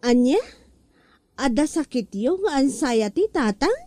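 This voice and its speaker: A woman voices a young Ilocano child in a comedy radio play. The voice is extremely high yet soft and mellow, chiding and puzzled, with a dry, close sound. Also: animated, measured pace, no room sound. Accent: Ilocano, northern Philippines. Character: puzzled young child questioning the lazy father.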